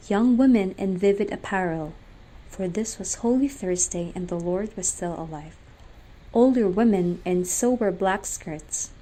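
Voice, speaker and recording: Slow, mellow young Filipino woman narrator. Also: lowish voice, rough recording. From Philippines. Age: young adult. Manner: measured, solemn storytelling. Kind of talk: scripted literary narration.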